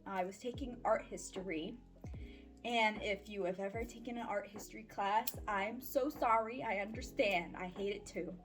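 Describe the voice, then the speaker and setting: A woman's voice: medium pitch, moderate pace. A woman in her twenties chatting casually in a life-update vlog, candid and slangy with playful sarcasm. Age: 20s. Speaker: vlogger and voice-acting audio creator. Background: background music.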